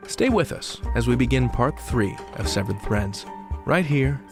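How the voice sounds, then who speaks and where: A man's voice: medium pitch, animated, measured pace. A man hosting an audio drama podcast with theatrical scripted narration, speaking directly to the listener. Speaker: podcast host. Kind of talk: scripted narration, story recap. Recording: music bed behind the voice. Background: music.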